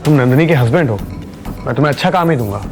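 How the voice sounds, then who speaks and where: A man's voice: medium pitch, expressive, very fast, close to an everyday voice. A man acting a scheming, wealthy company owner in a Hindi audio drama, outwardly polite and slipping into English phrases. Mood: falsely friendly. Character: smooth, scheming rich company owner with bad intentions. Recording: music behind the voice.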